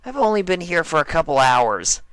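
A man acts out a brash young mare pony from a storybook in a raised voice. The manner is laid-back, blunt and unimpressed, with lively pitch movement. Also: normal speed, clear, resonant tone, no echo, low-quality recording. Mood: defensive. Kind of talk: fan fiction character reading.

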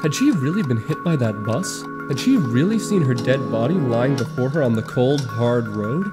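A man's voice: mid-range voice, animated, normal speed, close to an everyday voice. Man playing a cocky, theatrical young god. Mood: smug. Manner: grandiose, theatrical, boastful. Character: brash, vain, foul-mouthed young male god for hire. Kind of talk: anime parody dub acting. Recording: loud music under the voice.

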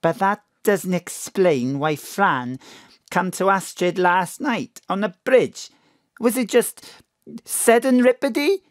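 A woman's voice: mid-range voice, slow, dry recording, studio quality, close to an everyday voice. An actress with a Welsh accent playing a doting, plain-spoken middle-aged mother in an audio drama, emphatic and colloquial. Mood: concerned.

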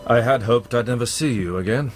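A man's voice: mid-range voice, fairly quick, soft background music, close to an everyday voice. A man playing a guarded, reproachful captive pilot in a radio drama. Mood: bitter, impatient. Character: bitter, wary captive German pilot, adult man.

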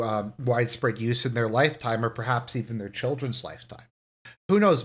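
A man in a mid-pitched voice muses aloud in a casual, unscripted commentary. He restarts sentences, leans on key words, and lets his voice rise when he makes a point. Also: fairly bright tone. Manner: thoughtful, musing, with frequent restarts and rising emphasis. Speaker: video essay host.

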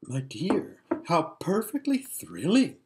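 A woman's voice: fairly low pitch, huge pitch swings, slow pace, a little mellow, a little hiss or hum, pitched above the performer's own voice, exaggerated voice. A woman voicing a vain, lazy, giggly young noblewoman in a storybook, with an affected drawl and gushing manner.

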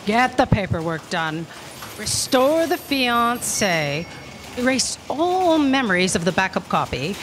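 A woman's voice: fairly high pitch, sing-song, unhurried, fairly bright tone. A woman playing an imperious, impatient boss in a staged audio drama, commanding, curt and scornful. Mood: bossy. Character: imperious, impatient female boss of destiny office. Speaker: stage actor. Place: theatre stage, indoors. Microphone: stand microphone. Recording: noisy live stage recording.

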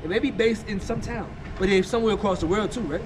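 A middle-aged American man with a high voice talks at a breakneck, emphatic pace, repeating himself for effect. He is recorded outdoors on a sunny rooftop, with clear city traffic noise behind him. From United States. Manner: fast, emphatic, repetitive, animated. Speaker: guest vlogger. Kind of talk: casual chat.

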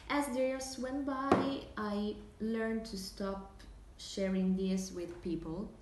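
A woman's voice: mid-range voice, steady tone, voice pushed up, close to an everyday voice. A young woman with an Italian accent playing a wistful, hesitant dreamer remembering lost hopes in a dramatic monologue. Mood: confiding, hopeful. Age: young adult. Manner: slow, hesitant, inward. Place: small indoor room, seated at table. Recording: echoey room, faint hiss.

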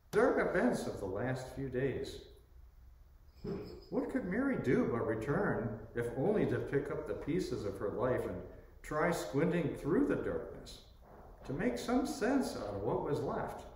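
An older man in his sixties, a priest reading a sermon slowly and deliberately from the pulpit, his voice rising and falling. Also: on the high side. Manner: slow, deliberate delivery with lively rises and falls. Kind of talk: sermon read from a script. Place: church sanctuary by the organ pipes. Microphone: pulpit microphone on a stand. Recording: echoey church from a distant camera.

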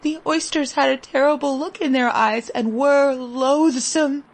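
A woman reading a literary story in the first person, expressive, vivid and deliberate. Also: sits high. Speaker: story reader.

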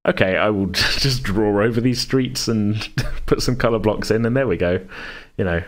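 A man's voice: on the low side, moderate pace. A man chatting casually as a podcast host, rambling and often interrupting himself. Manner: rambling, self-interrupting, hedging. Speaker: podcast host and game master. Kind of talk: casual chat.

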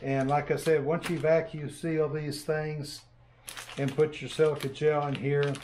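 Older man, unhurried DIY instructor on a lapel mic, faint shop noise in the room. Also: on the high side, flat delivery, darkish tone. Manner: unhurried, plainspoken, pauses with fillers while working. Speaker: workshop host. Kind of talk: casual how-to demonstration. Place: large workshop with shelves of printers, indoors.

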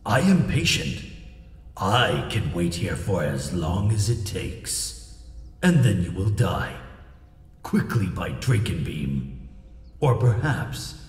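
A man's voice: low-pitched, big pitch swings, slow pace, full character voice. A man voicing a cruel, patient alien warlord in an audiobook, with menacing, gloating delivery and an echoing telepathic effect. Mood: cold menace. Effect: echoing telepathic-voice reverb. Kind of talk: dramatised audiobook villain. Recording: distant, long echoing reverb.

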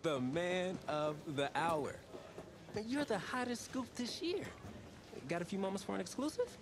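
An actor playing an eager young sports reporter angling for a scoop in a video game cutscene. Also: male voice, sits high, very animated, moderate pace. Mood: excited. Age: young adult. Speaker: student reporter. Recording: noisy ambience behind the voice.

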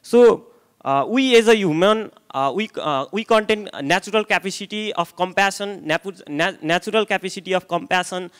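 A young man with a Nepali accent speaks into a handheld microphone at a conference. His voice sits fairly high and sounds clean and smooth. He hesitates often, filling pauses and restarting phrases. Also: fairly bright tone. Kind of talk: conference presentation. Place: hotel conference room, indoors.

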